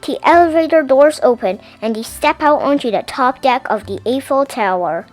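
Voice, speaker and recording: High, lively woman reading a storybook. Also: moderate pace, faint music behind. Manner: animated, theatrical read-aloud delivery. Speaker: storybook reader. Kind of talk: expressive scripted children's story narration.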